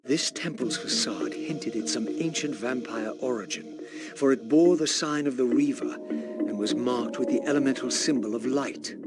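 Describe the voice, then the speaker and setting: Casting: male actor for a brooding wraith narrator in a video game, theatrical and solemn, medium pitch, heavy score behind. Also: normal speed, over loud music, lightly acted. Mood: grave, reflective. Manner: grave, formal, theatrical. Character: bitter, brooding undead male wraith, fallen vampire. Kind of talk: scripted dramatic game narration.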